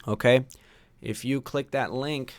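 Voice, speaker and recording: A man's voice: medium pitch, steady tone, unhurried, a little hiss or hum. A young man born in Pakistan, hosting a casual livestream Q&A for his online course, reading viewer names and questions aloud.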